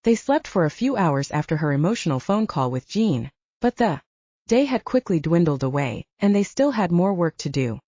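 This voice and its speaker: A woman's voice: on the low side, sing-song, moderate pace, dark tone, no echo, lo-fi sound. A woman narrating an audiobook novel in a scripted storytelling style.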